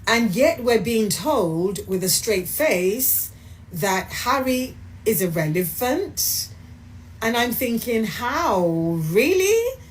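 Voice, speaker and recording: Slow, expressive woman giving opinions. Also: on the high side, low-quality recording. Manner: emphatic, rhetorical questions, deliberate delivery. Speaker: commentator in a played clip. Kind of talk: opinion monologue.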